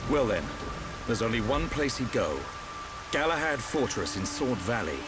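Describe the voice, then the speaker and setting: An actor playing a composed, seasoned war hero, measured, articulate and dry in game cutscene dialogue. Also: male voice, medium pitch, very animated, over music, close to an everyday voice. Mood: thoughtful.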